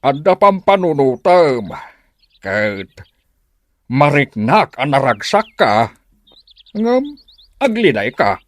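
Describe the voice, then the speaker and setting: A man's voice: medium pitch, sing-song, takes it slowly, pauses often, dry recording. A man playing a kindly old village uncle, folksy, musing and philosophical, in an Ilocano radio drama. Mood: knowing, philosophical.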